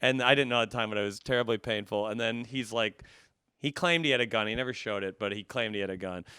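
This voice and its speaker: A man's voice: on the low side, fast, pushed and bright, smooth, dry recording, studio quality. An American man in his thirties telling comic stories in casual, irreverent podcast banter, swearing freely. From suburban United States. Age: 30s. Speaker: podcast guest, comedian.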